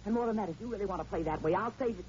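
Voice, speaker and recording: A woman's voice: medium pitch, racing, darkish tone, natural voice. An actress playing a cool, scheming, sardonic woman in an old-time radio drama, with an arch, mocking, theatrical delivery. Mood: threatening. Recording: dull, noisy old radio recording.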